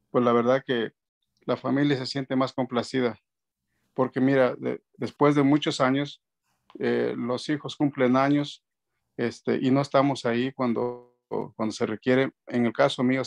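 Man, 50s, gravelly voice, webcam video call, rambling casual chat, fillers. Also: mid-range voice. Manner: relaxed, rambling, frequent fillers and restarts. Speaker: band keyboardist, guest. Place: indoor room at home.

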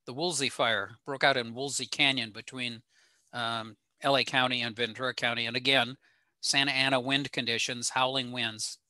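An older American man giving an informal slide lecture in an explanatory, halting way with frequent pauses. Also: mid-range voice, fairly even intonation, sharp tone, no room sound. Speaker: presenter, ham radio operator. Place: small home room indoors.

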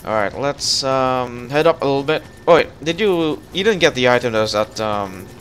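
A man's voice: mid-range voice, lively intonation, moderate pace, fairly bright tone, slight background noise. A man casually chatting while co-op gaming, thinking aloud and guiding his partner. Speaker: let's play host. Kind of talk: casual co-op gameplay chat.

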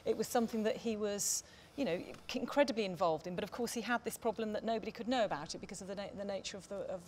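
A woman in her forties with a medium-pitched voice moderates a discussion on stage. She builds her questions tentatively and at length, circling toward the point. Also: slight background noise. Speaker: panel chair. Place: theatre stage, indoors.

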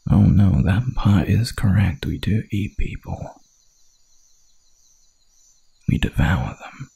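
A man voicing a hungry, dominant werewolf hunter in an ASMR roleplay, with a gravelly, breathy growl and a menacing, seductive drawl. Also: low-pitched, lively intonation, takes it slowly, mellow, full character voice. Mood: gloating menace.

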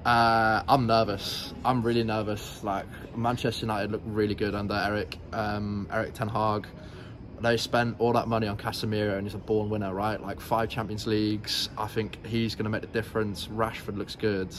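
A man's voice: on the low side, level tone, moderate pace. A young man from North East England answering a street interview as a football fan, hesitant, with many "um"s and "like"s. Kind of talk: street vox pop. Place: city street outdoors. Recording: noisy street background.

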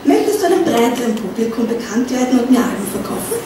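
A woman voicing a wily male marketing manager with an Austrian accent, coaxing and explaining slowly, on a stand mic in a small pub. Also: a little high, sing-song. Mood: persuasive. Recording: echoey bar room, distant mic, noisy.

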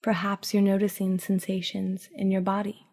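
A woman narrating a scripted guided sleep meditation in a soothing, hushed, unhurried manner with long pauses. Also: mid-range voice, monotone.

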